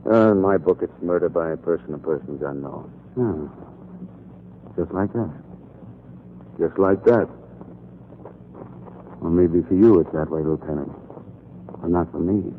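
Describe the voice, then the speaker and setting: A man's voice: sits low, measured pace, long pauses. A man playing a hard-boiled, brooding newspaper columnist in a terse, world-weary 1940s noir radio style. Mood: bitter, subdued. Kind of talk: 1940s noir radio acting with first-person narration. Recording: muffled, noisy 1940s radio recording.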